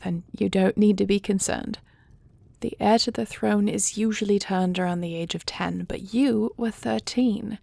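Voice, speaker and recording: A regal dragon queen acted by a woman in an audio drama, earnest and motherly. Her medium-pitched voice has a creaky edge and is recorded dry and close. She speaks in formal, measured phrases with a gentle touch. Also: no echo. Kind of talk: scripted audio roleplay drama.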